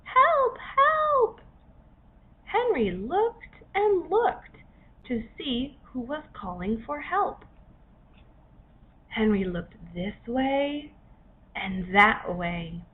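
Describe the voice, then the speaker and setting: A woman reads a children's story slowly and expressively, pausing between short phrases for drama. Her voice is fairly high and swings widely in pitch. The recording is a little dull. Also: very animated. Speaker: author reading own story. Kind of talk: children's story narration for young learners. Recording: dull lo-fi recording, faint noise.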